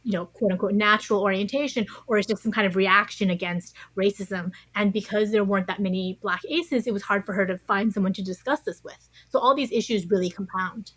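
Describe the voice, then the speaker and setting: A woman's voice: medium pitch, a little fast, hardly any pauses, fairly bright tone, dry recording. A woman speaking as an interview guest, giving thoughtful, conversational answers. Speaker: interview guest, science writer.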